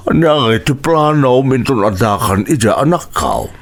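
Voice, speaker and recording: A man's voice: medium pitch, sing-song, normal speed. A man with an Ilocano accent playing an earnest, affectionate father of a grown daughter in a radio drama. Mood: curious, grateful.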